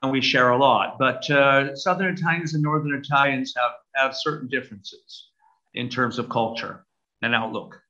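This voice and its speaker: An older man with a Brooklyn New York accent talks slowly and deliberately, often pausing on fillers. His voice is bright and a little sharp. The recording sounds dull and lo-fi. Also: medium pitch, fairly even intonation. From United States. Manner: measured, hesitant with many "uh" fillers. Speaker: archbishop guest. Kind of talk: interview conversation. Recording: dull lo-fi audio.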